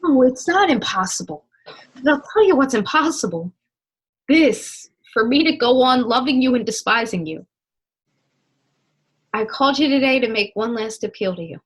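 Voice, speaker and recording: A woman's voice: on the high side, lively intonation, moderate pace, pauses often, slightly rough. A young woman acting an ardent, impatient lover in a stage melodrama, declaiming with passion. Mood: pleading, impassioned. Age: young adult. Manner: declamatory, impassioned. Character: ardent, impatient lover, eloquently scolding and pleading. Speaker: student actor. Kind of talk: stage acting in a one-act period melodrama.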